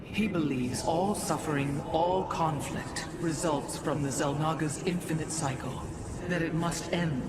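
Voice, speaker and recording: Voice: mid-range voice, a little slow, heavy background noise, close to an everyday voice. A performer playing a grave alien mystic adviser in a video game cutscene, formal, cautioning and ominous. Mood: ominous, troubled.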